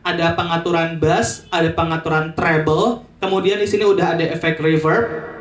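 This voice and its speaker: A man's voice: on the high side, steady tone, moderate pace. A man presenting a casual promotional product review, chatting to viewers like friends.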